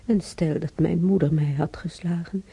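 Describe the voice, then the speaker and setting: An actress playing a regretful old mother in a radio drama, halting and brooding, with a husky, creaky voice. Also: sits low, fairly even intonation, dark tone, heavily acted. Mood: remorseful. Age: older. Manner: halting, brooding, repeating herself. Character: regretful old mother remembering beating her stubborn son.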